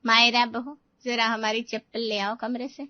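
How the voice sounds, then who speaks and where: A woman's voice: on the high side, level tone, moderate pace, pushed and bright, no room sound, muffled recording. Woman voicing a sarcastic scheming aunt. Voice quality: mocking, taunting. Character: sharp-tongued older aunt, sarcastic and scheming. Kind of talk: Hindi cartoon voice acting.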